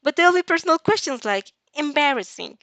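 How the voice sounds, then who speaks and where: A woman voicing a bubbly, affectionate teenage girl in a fan story reading, gushing and chirping with excitement. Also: high voice, fairly quick, no echo, pitched above the performer's own voice, full character voice. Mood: playful, chirpy.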